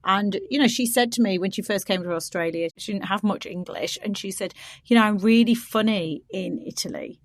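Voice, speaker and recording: A woman's voice: medium pitch, brisk, no echo. Curious woman podcast host, dry sound. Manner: curious, asks long winding questions. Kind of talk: casual interview chat.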